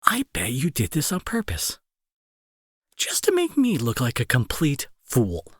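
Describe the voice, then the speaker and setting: An irritable young male bully, acted by a man, speaks in a slow, dramatic delivery that swings widely in pitch. He is sarcastic and scolding with worry underneath, in a dry, close audio-drama recording. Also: wildly swooping pitch, husky, no room sound, heavily acted. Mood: irritated, demanding. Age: young adult. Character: irritable young male bully, gruff but secretly worried. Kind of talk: intimate roleplay audio drama acting.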